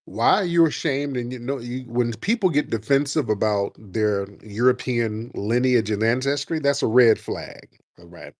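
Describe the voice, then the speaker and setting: A man's voice: medium pitch, normal speed, hardly any pauses, a little mellow, no echo, clean studio sound. A man hosting a call-in radio show, arguing with callers and interrupting them to lecture. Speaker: host.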